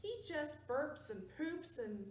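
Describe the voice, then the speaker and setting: An eager little boy pleads and bargains in a lively, high voice, as a woman reads his letters aloud. The voice sounds roomy and a bit distant. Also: slow pace, a little hiss or hum, exaggerated voice. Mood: pleading. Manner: pleading, earnest. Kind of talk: picture book read-aloud.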